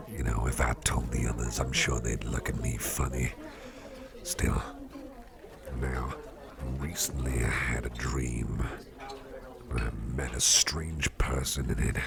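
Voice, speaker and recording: A man playing a friendly, affectionate office boss in an ASMR roleplay, murmuring in a breathy half-whisper and rambling gently as he repeats himself. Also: a bass voice, heavily acted. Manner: hesitant, repetitive, gently rambling. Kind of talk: ASMR roleplay, intimate personal attention. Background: music.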